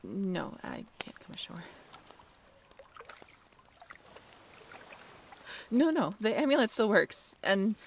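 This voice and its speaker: Creaky-voiced young mermaid woman, played by an actress. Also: medium pitch, very animated, slight background noise. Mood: evasive, reassuring. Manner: casual, halting, softly self-deprecating. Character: friendly young half-mermaid woman, wry and bashful. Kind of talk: intimate audio roleplay, one-sided conversation.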